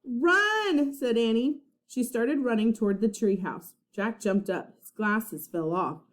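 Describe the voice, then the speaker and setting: A woman reading a children's storybook aloud like a teacher, with expressive, dramatic narration. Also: mid-range voice, measured pace.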